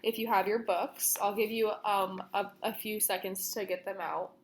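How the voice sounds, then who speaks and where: A woman's voice: mid-range voice, fairly even intonation, normal speed, a little hiss or hum. A young woman reading a children's story aloud in the manner of a storytelling session for kids. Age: young adult. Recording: roomy, echoing room sound.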